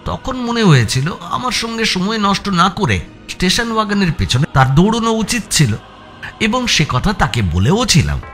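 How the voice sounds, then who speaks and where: A man narrating a suspense audio story in the first person, with expressive, dramatic delivery. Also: high-pitched, normal speed. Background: background music.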